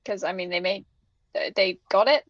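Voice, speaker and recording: A woman's voice: medium pitch, moderate pace, no echo. A woman chatting casually as a player in a tabletop roleplaying game, on a dull lo-fi microphone. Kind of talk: casual chat.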